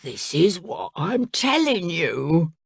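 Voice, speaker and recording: A woman's voice: on the low side, very animated, takes it slowly, creaky, husky, dry recording, clean studio sound, heavily acted. A woman growling as a sulky greedy crocodile. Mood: sobbing. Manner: blunt, grumbling, sulky.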